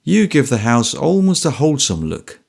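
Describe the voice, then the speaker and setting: A man's voice: medium pitch, big pitch swings, moderate pace, vocal fry, a little mellow, breathy edge, heavily acted. A man voicing a big, composed ex-soldier with blunt, dry speech.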